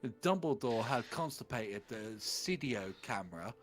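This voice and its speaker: Theatrical man with wildly swinging pitch. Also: animated, normal speed. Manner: theatrical, mock-serious. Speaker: fan fiction reader on a livestream. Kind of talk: comedic dramatic reading aloud. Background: faint music.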